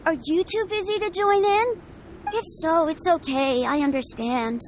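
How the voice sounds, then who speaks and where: A woman's voice: very high-pitched, a little slow, slight background noise. A woman voicing a sweet, polite, eager little boy in a video game, with a childlike voice. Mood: shy, hopeful. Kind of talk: English game voice acting.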